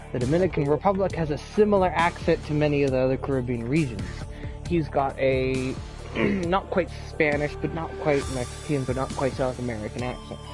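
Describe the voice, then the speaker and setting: Male game streamer, loose chatty delivery, trips over words, faint music under him. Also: fairly high pitch. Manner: stammers and repeats words, lots of fillers. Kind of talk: casual live-stream chat with party friends.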